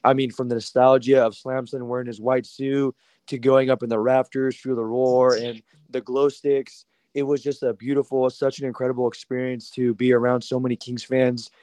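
A man calls in to a radio show, telling his story eagerly and at length. His voice is a little raspy and stays fairly level in pitch. The sound is dry and close. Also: flat delivery, normal speed, warm tone, dry recording. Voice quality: slightly raspy. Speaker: caller. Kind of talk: phone-in chat.

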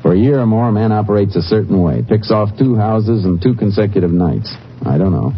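An actor playing a calm, determined Texas Ranger in a 1950s western radio drama, with an American accent and a clipped, matter-of-fact way of talking. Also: male voice, fairly low pitch, brisk, a little hiss or hum, natural voice. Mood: puzzled, resigned. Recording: muffled old 1950s radio recording.

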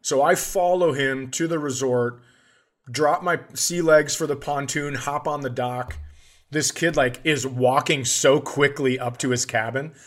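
A man's voice: medium pitch, unhurried. Man telling casual, profane comic stories. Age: middle-aged. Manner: profane, animated when acting out his outbursts. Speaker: comedy podcast host. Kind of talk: casual comedic storytelling.

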